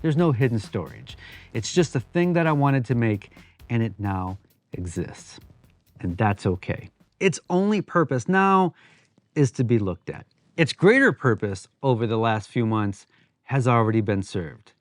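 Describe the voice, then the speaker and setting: A man's voice: mid-range voice, big pitch swings, a little slow, no room sound, faint music behind. A man hosting a woodworking vlog, explaining things in an informal, plain-spoken way. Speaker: host of a woodworking channel. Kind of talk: casual workshop vlog narration.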